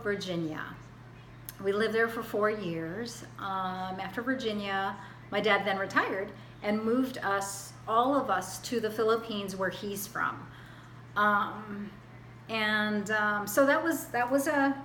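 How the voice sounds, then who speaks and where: A woman in her forties gives a plain, unhurried self-introduction, her bright voice often pausing on fillers and restarting sentences. She sounds like she is recording herself on a webcam in an echoey room. A faint hiss sits underneath her voice. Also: mid-range voice. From Hawaii, US. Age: 40s. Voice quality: hesitant, many fillers and restarts. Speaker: student.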